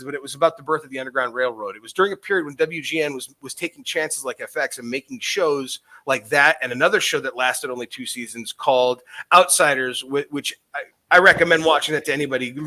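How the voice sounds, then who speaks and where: Tipsy guy rambling and cursing on a podcast, highish bright voice. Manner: profane, meandering, enthusiastic. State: intoxicated. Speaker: podcast co-host. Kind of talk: rambling casual chat.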